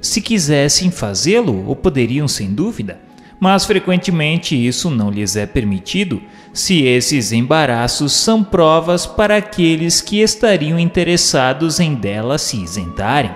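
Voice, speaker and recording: A man's voice: a little high, sing-song, measured pace. A man reading a script as narrator and questioner, in a formal, declamatory way. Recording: long hall reverb. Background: faint music.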